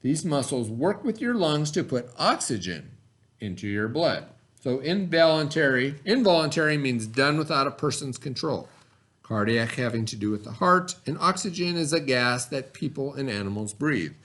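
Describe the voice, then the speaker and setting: A middle-aged man reading a lesson aloud in an instructional way, pausing to explain and repeat key terms. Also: medium pitch, lively intonation, a bit bright. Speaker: teacher reading aloud to children.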